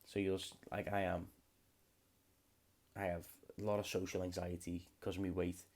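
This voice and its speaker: A man's voice: low voice, flat delivery, normal speed, darkish tone. A man in his late twenties, hosting a podcast alone in a rambling, swear-heavy casual chat. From United Kingdom. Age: late 20s. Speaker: podcast host.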